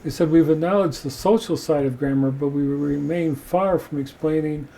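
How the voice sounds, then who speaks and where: A man with a somewhat raised pitch and a soft, dark tone reads book passages aloud. He pauses to discuss them at a measured pace, and faint noise sits under the recording. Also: gravelly. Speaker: podcast host.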